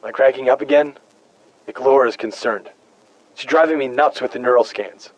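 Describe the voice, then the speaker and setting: A man's voice: medium pitch, brisk, dull audio, lightly acted. Man playing a weary, haunted stranded survivor. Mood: uneasy, brooding. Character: weary, haunted human survivor stranded decades on alien planet. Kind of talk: dramatic audio-log monologue for a video game.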